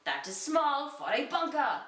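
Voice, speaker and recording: A woman giving a deliberate, dramatic reading of an amateur children's fantasy story, like a storyteller. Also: sits high, sing-song, fairly bright tone, recorded from a distance. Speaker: reader. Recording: echoey room, away from the mic.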